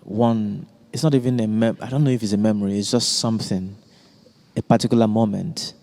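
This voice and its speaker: A man's voice: on the low side, steady tone, brisk, a little mellow. A man hosting a reflective group conversation in a museum exhibition space, hesitant and searching for his words. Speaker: discussion host. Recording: long hall reverb.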